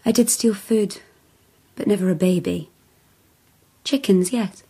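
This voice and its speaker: An actress playing a young feral woman raised among wolves in a radio drama, humble yet defiant, halting and plain-spoken. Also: mid-range voice, mellow, slightly rough. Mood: plain, wary.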